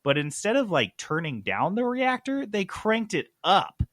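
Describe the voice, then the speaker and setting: A man's voice: sits high, sing-song, measured pace, fairly bright tone, no echo, studio quality. A man hosting a gaming podcast, explaining news casually in an emphatic, sarcastic, sweary way. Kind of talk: casual comic explaining of game industry news.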